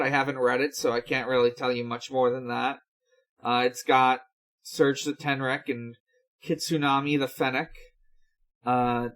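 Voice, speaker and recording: A podcast co-host reading out news in a casual chat, with a halting, hesitant delivery. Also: mid-range voice, a bit bright.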